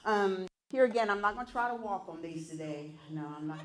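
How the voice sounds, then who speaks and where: Loud folksy woman in an echoey hall. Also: mid-range voice, brisk. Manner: chatty and folksy, coaxing the crowd to join in. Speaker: event entertainer leading songs and games. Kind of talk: casual talk to an audience of elders. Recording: echoey room.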